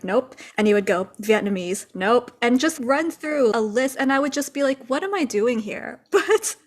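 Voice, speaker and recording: An American woman co-hosts a casual podcast, speaking candidly with an edge of frustration. Her voice sits fairly high. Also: animated, moderate pace.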